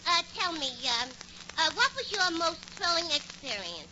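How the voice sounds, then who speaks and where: Through loud, muffled old-radio noise comes a gabby, dim New York dame. Her voice is very high and swoops about, gushing in scatterbrained, ungrammatical chatter for 1940s radio comedy. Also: huge pitch swings, moderate pace, heavily acted. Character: gabby, man-hungry, dim young woman.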